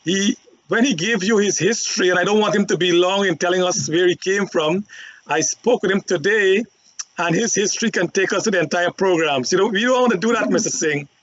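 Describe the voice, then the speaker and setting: A man's voice: high voice, fairly quick, fairly bright tone, dry recording. A man moderating a panel show, introducing guests in a rambling way with many filler ums. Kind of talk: talk show hosting. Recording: dry, dull lo-fi line.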